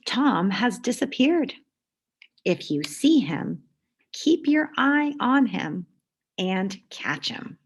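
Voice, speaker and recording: Woman as a mysterious, slow-talking storybook man. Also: medium pitch, very animated, breathy edge, pitched above the performer's own voice, full character voice. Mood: playful, dramatic. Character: Mister Kelly, mysterious playful man telling children of leprechauns.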